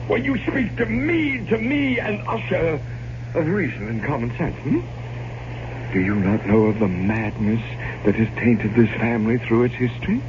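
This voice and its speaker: An actor playing a tormented, morbid and unstable last heir of a doomed family in a radio horror drama, theatrical and stammering. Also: male voice, mid-range voice, animated, moderate pace, lightly acted. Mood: bitter, agitated. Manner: theatrical, stammering, repeating phrases. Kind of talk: old-time radio horror drama acting. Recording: noisy, muffled old radio drama recording.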